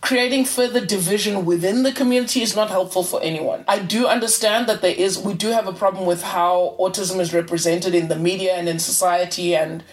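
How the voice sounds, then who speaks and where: A woman's voice: on the low side, fairly even intonation, fairly quick, hardly any pauses. A woman hosting a casual podcast conversation, hesitant, often restarting and repeating words. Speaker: podcast host.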